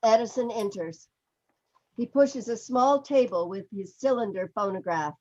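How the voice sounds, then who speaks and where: Slightly high-voiced woman reading stage directions, dull audio. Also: a little slow, warm tone. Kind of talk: scripted narration. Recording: dull video-call audio.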